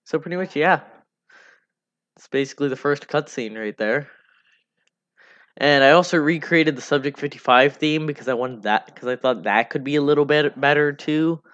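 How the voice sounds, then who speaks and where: A man giving casual, unscripted devlog commentary in a rambling, halting way, often repeating himself. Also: fairly high pitch, fairly bright tone. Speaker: hobby game developer narrating a devlog. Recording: dull lo-fi mic under music. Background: music.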